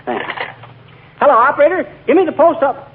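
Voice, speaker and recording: An actor playing a grumbling, wisecracking middle-aged husband in a folksy manner, in a 1940s radio comedy. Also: male voice, very high-pitched, expressive, fairly quick. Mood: breezy joking. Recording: noisy, tinny 1940s radio broadcast.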